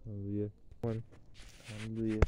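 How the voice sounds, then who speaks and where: A man's voice: fairly low pitch, monotone, fairly quick, background noise. A man leading Sabbath prayers and blessings outdoors at night, recorded on a handheld camera close by. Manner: prayerful, rising into loud chanted blessings. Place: outdoors at night at a picnic table.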